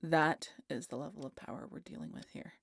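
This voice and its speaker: A weary, guarded vampire woman, acted by a woman speaks in a low, gravelly voice with a husky, breathy edge. She is dryly sardonic yet protective, talking haltingly and intimately to one listener in an audio roleplay. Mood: grim, explaining. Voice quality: breathy, husky, often dropping to a whisper.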